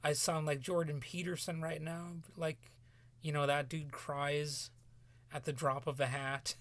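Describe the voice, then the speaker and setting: A man talking solo in a rambling podcast commentary, halting and restarting often, his voice cracking as he loses it. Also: fairly high pitch, flat delivery, a bit bright, dry recording. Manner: halting, many restarts and fillers. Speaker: podcast host.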